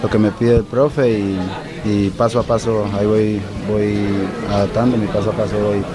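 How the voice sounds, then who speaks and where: A man speaking as a football player in a short interview, slow and plain, repeating phrases. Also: on the low side, little pitch movement, over music, a crowd in the background, low-quality recording. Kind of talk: post-match interview.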